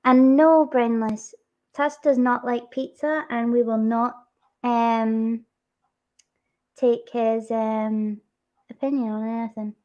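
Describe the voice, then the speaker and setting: A woman's voice: fairly high pitch, steady tone, slow, a little mellow, muffled recording. A woman hosting a livestream, chatting casually, giggling and teasing her viewers. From Scotland. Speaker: stream host.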